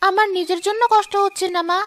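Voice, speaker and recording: A woman voicing a sorrowful poor mother, high voice. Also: steady tone, fast, a bit bright, a little hiss or hum. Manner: pleading, sorrowful. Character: poor tearful young mother. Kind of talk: cartoon voice acting.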